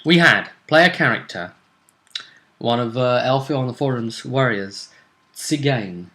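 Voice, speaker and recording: Bright-voiced man, chatty wry host. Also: mid-range voice, expressive, unhurried. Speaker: host of a tabletop role-play series. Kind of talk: casual chat and tournament recap.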